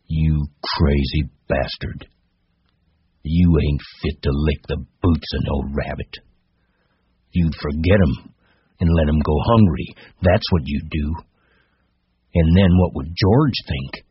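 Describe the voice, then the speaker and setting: Male reader as scornful storybook rabbit bully, low, drawn-out and taunting, roomy sound. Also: breathy edge. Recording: muffled, roomy home recording.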